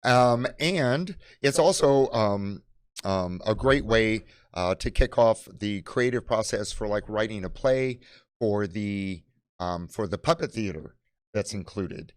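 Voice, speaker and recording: A man giving an unscripted product presentation as a presenter of library kits, speaking in a halting way with many fillers. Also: lowish voice, clear, resonant tone, no room sound. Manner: halting, filler-heavy delivery.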